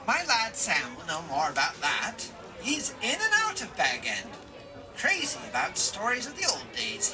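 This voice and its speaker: An actor playing a garrulous old rustic hobbit gardener in an audio drama, rambling and emphatic in a folksy way. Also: male voice, sits high, exaggerated voice. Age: older. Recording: music and crowd murmur behind.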